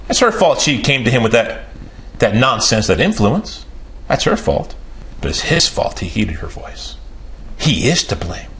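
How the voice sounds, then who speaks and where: A man's voice: huge pitch swings, unhurried, a bit bright, a little hiss or hum. A man in his forties preaching a sermon in a small room, emphatic and repeating key phrases for weight. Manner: emphatic, repeats key phrases, pitch rises and falls sharply.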